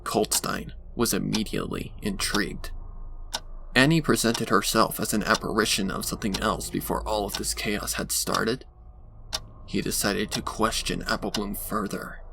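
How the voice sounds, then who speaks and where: A man's voice: fairly low pitch, fairly even intonation, moderate pace, creaky. A man narrating a scripted dramatic story on a noisy home recording.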